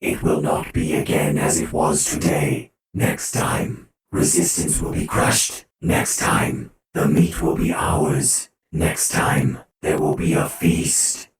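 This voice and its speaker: Alpha of Alphas, a gravelly alien overlord. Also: male voice, a bass voice, little pitch movement, slow pace, hardly any pauses, voice pushed down, full character voice. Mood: menacing. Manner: clipped, announces feelings before speaking. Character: ruthless alien swarm overlord, cold and hungry. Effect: heavy echo effect. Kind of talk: audiobook character voice. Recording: cavernous echo, distant.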